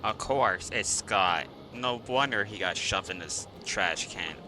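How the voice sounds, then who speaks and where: A man's voice: fairly low pitch, slow, sharp tone. A man casually commentating on a game livestream, with offhand, dismissive asides. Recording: game music behind the voice. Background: game music.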